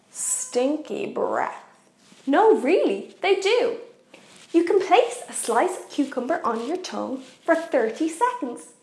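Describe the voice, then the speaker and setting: A young woman hosting a kids' cooking show, presenting facts in an animated, deliberate way. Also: high voice. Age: young adult. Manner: animated, expressive, deliberate for kids. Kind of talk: children's educational presenting. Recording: echoey room.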